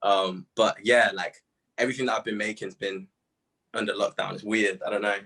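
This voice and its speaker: A man's voice: lowish voice, flat delivery, brisk, a bit bright, slightly rough. A young man from Zimbabwe, chatting casually and laughing often on a video call. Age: young adult. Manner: slangy, laid-back, laughs often. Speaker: musician guest. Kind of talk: casual interview chat.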